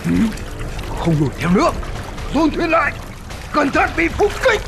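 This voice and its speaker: An actor playing an arrogant, hot-tempered enemy commander in an animated historical film, with a haughty, commanding manner. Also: male voice, extremely high pitch, moderate pace, creaky, over music, heavily acted. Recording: loud film score over the voice.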